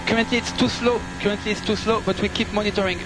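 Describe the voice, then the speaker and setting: High-pitched male race engineer on noisy radio. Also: steady tone, quick pace. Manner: clipped, procedural, repeats instructions. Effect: team radio. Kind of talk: team radio instructions and race updates. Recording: very noisy car team radio transmission. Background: loud car noise.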